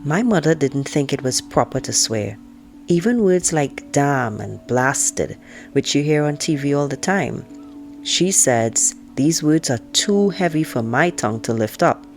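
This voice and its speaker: An outspoken teenage girl voiced by a woman reader tells her family story in a low-pitched voice. She is frank, opinionated and indignant, with a sarcastic, conversational edge, as in an audiobook. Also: lively intonation, measured pace, faint music behind. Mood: frank, musing. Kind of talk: first-person audiobook read-aloud.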